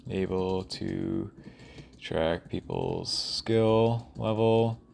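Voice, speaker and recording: A man thinking aloud in a rambling, casual audio log, halting and often trailing off mid-thought. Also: deep voice, fairly even intonation, vocal fry, a little mellow. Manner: casual, profane.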